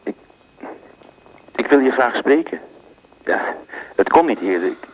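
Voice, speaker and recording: An actor playing a hesitant young male artist in a radio drama, talking haltingly and apologetically. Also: mid-range voice, big pitch swings, slight background noise. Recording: dull muffled sound.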